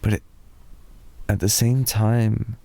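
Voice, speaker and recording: A British man softly rambling in an improvised ASMR boyfriend roleplay, telling a drowsy, meandering story to lull a listener to sleep. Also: on the low side, fairly even intonation, gravelly. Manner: soft, slow, drowsy storytelling.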